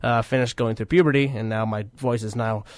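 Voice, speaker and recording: A man in his twenties hosting a college radio show, his voice deepened by illness, rambling with many fillers and joking at his own expense. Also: steady tone, brisk, dry recording. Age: 20s. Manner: rambling, many fillers and restarts, self-mocking jokes. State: sick, voice deeper than usual. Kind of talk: casual radio chat.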